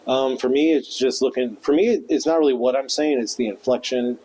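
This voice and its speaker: A man with a monotone voice, a stand-up comedian guest talking loosely on a podcast, full of fillers and restarts. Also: mid-range voice, a little fast, low-quality recording. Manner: rambling, loose, with many fillers. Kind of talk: casual podcast interview.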